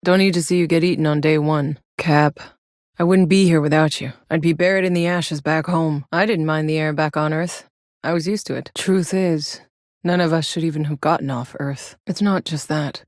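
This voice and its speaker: Woman playing a tough, low-voiced sardonic female mercenary. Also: fairly even intonation, normal speed, a little mellow, dry recording, natural voice. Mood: wry, steady. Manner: dry, sarcastic, blunt. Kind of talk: video game character voice lines.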